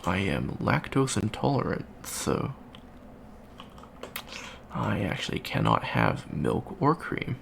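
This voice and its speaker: A man's voice: low-pitched, gravelly, full character voice. A man playing a shy, friendly young avian alien student in an ASMR roleplay, hesitant, stammering and rambling. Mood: awkward, chatty. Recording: faint background noise.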